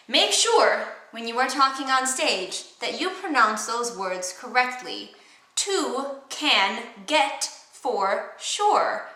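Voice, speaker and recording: A young woman with a nasal Wisconsin accent teaching acting, enunciating clearly and animatedly, recorded on a camera mic in an echoey room. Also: on the high side, pushed and bright. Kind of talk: enthusiastic how-to vlog. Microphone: camera mic across the room. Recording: roomy echo from far camera.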